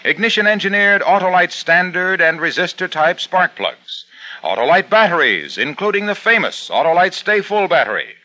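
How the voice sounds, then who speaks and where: A man reading a 1950s radio commercial in a brisk, emphatic pitchman's delivery. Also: sing-song, bright, forceful tone. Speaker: radio sponsor announcer. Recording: dull old radio broadcast with faint music.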